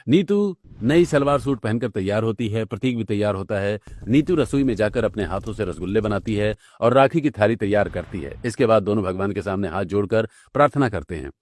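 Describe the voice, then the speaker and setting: A man's voice: fairly low pitch, lively intonation, a little fast, few pauses, darkish tone. A man delivering scripted narration for a cartoon story.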